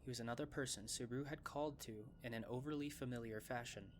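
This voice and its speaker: A man's voice: mid-range voice, fast, a little hiss or hum. A man narrating a scripted fantasy story for an anime fan dub.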